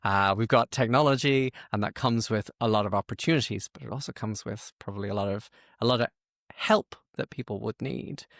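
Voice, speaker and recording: Man, creaky voice, dry close sound, conversational interviewer, medium pitch. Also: fairly quick, fairly bright tone, no echo. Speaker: podcast host and interviewer.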